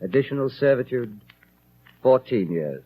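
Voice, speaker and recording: A man acting a calm, kindly bishop in a 1930s radio drama, measured, courteous and unruffled. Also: mid-range voice, natural voice. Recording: muffled old radio broadcast.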